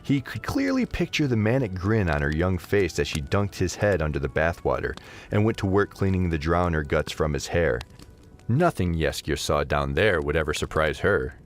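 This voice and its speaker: A man narrating a scripted fantasy story as an expressive audio drama narrator. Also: low voice, moderate pace, soft background music.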